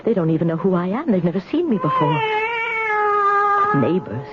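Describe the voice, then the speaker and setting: A woman's voice: lowish voice, big pitch swings. An actress playing a timid, lonely old woman who frets and repeats herself in a radio mystery. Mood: panicky, fretting. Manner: fretful, halting, repeating words. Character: timid, frightened, lonely old spinster in her house. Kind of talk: 1970s radio mystery acting. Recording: noisy, dull 1970s radio broadcast recording.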